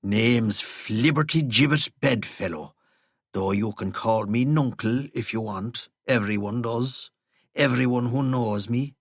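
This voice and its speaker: A man voicing an eccentric, rambling old man with a thick Kerry Irish accent, drawling slowly and whimsically. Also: medium pitch, dry recording, clean studio sound.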